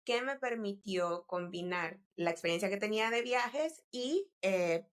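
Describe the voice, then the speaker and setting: A woman from El Salvador, speaking as a guest in an interview at a mid-range pitch. She talks thoughtfully, pausing to restart phrases and sprinkling in English business terms. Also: animated. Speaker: guest entrepreneur. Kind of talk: interview conversation.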